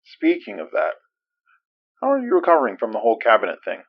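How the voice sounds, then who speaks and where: A man's voice: mid-range voice, huge pitch swings, quick pace, dry recording, narrow, tinny audio. An actor playing a guarded, businesslike man who speaks curtly and with reserve in an audio drama. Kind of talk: naturalistic audio drama acting.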